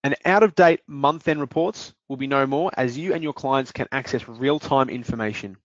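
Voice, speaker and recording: Man giving a dull, dry software demo. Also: medium pitch, normal speed, dry recording. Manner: steady explanatory delivery with occasional fillers. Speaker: product presenter.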